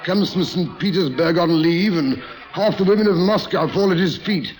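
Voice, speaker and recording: An actor playing a hapless, self-excusing philandering aristocrat with theatrical indignation in an old radio drama. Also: male voice, high voice, normal speed, natural voice, poor audio. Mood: indignant. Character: hapless, flustered philandering male Russian aristocrat. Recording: old radio broadcast, crowd behind.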